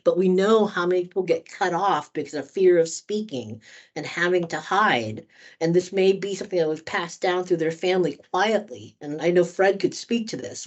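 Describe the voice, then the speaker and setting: A woman trainer with a slightly low voice talks through questions in a candid, thoughtful way. The sound is dull and lo-fi, like a video call. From United States. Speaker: trainer leading a workshop. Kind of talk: conversational training session.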